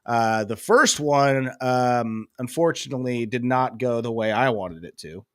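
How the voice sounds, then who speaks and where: A man's voice: medium pitch, unhurried, a bit bright, dry recording, studio quality. A young man with a head cold, rambling casually as co-host of a sports podcast, full of fillers and self-deprecating asides.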